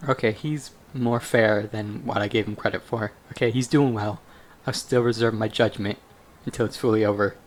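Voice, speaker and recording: A man's voice: on the low side, fairly even intonation, moderate pace. A man commenting casually on a video game as he plays, reacting aloud in an informal way.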